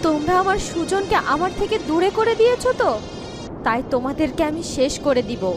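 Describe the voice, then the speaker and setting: A woman's voice: very high-pitched, animated, normal speed, music in the background. A woman voicing a possessive, vengeful serpent queen in a fairy-tale cartoon, with an imperious, dramatic manner. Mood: threatening.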